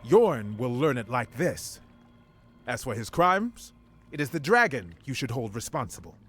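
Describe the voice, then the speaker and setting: A man's voice: mid-range voice, very animated, unhurried, husky, faint music behind. A man playing an earnest, forgiving clan leader in a fantasy game, speaking reflectively.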